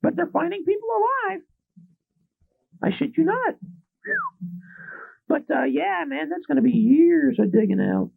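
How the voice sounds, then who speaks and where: A man's voice: very high voice, huge pitch swings, measured pace, gravelly, long pauses, phone-quality sound. A man hosting a public access call-in show, chatting casually with crude, joking banter. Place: small public access TV studio.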